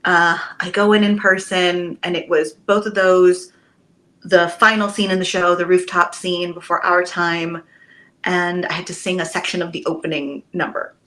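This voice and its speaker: Bright-voiced enthusiastic woman. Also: lowish voice, moderate pace. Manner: enthusiastic, animated storytelling with casual swearing. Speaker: Broadway actress guest. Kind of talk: casual podcast interview chat.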